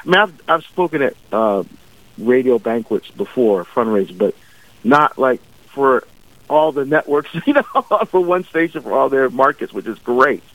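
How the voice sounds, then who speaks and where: A man's voice: medium pitch, expressive, moderate pace, dry recording. A man in his fifties, a deacon from Barbados, talking about his faith and calling in a radio interview over the phone, restarting phrases as he goes. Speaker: deacon guest. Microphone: phone line. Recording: muffled phone-line interview audio.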